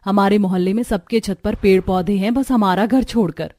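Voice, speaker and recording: A woman voicing a gentle, earnest young village daughter-in-law in a cartoon. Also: mid-range voice, fairly even intonation, normal speed, no echo, close to an everyday voice.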